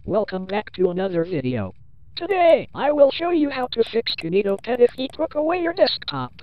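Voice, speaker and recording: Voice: mid-range voice, big pitch swings, normal speed, dry recording, lo-fi sound. A channel host speaking through a female text-to-speech voice, giving upbeat step-by-step game tutorial instructions.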